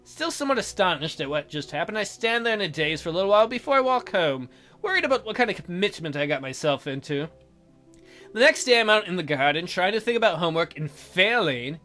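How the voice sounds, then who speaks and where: A woman's voice: on the low side, sing-song, fast talker, a bit bright. A woman hosting a let's play, reading a game's narration aloud with casual commentary. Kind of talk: animated game read-aloud with casual commentary. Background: music.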